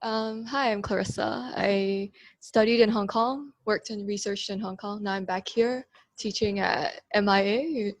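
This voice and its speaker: Woman lecturer, gravelly, dry close sound, relaxed. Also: mid-range voice, unhurried, no echo. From Malaysia. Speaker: interior architecture lecturer. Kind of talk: self-introduction on a video call. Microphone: video call.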